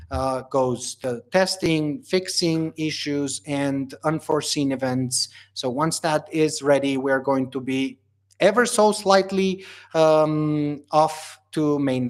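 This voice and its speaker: A man from Bulgaria hosting a livestream Q&A as company founder, reading out viewer questions and answering them casually with lots of fillers. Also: on the high side. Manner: casual, reads questions aloud then answers with many fillers.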